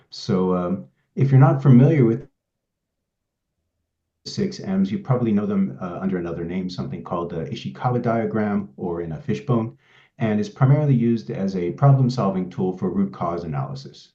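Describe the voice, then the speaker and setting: A middle-aged man with a Swedish accent explains a work tool in a low, warm voice. He talks at a measured pace and often restarts his phrases. The audio is roomy and a little dull. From Sweden. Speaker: pharma quality consultant presenting a demo. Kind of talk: webinar presentation.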